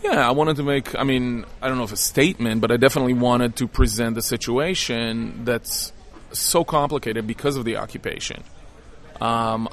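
A man's voice: mid-range voice, fairly bright tone. A man from Israel speaking as a film director in an interview, giving conversational answers.

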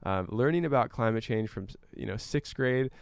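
A man's voice: mid-range voice, lively intonation, moderate pace, gravelly, clean tone, dry recording, studio quality. A man giving earnest, explanatory answers as a guest in a conversational interview. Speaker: climate educator and guest.

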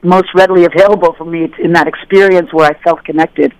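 Lively storytelling woman, dry studio sound. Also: lowish voice, fast, no room sound, rough recording. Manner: animated, acts out dialogue in voices. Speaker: interview guest. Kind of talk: casual conversational storytelling.

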